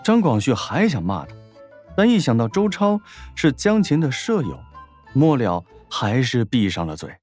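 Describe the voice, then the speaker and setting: A man's voice: medium pitch, huge pitch swings, moderate pace, darkish tone, faint music behind. A man narrating a scripted story as an audiobook narrator, in a dramatic storytelling style.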